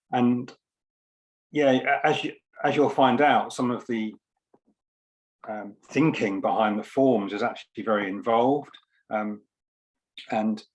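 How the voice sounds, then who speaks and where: A man's voice: lowish voice, poor audio. A man giving an informal talk at an online launch, speaking in a hesitant, reflective way with careful hedging. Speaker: poet and scientist speaking at a book launch. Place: online event.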